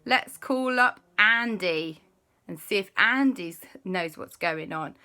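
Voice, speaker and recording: Eager curious scientist, a woman putting it on, bright and animated. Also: mid-range voice, takes it slowly, clean tone. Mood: excited.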